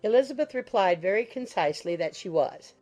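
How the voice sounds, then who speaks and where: A woman's voice: medium pitch, expressive, a little fast, a little mellow, faint music behind. A woman reading scripted literary narration as an audiobook narrator.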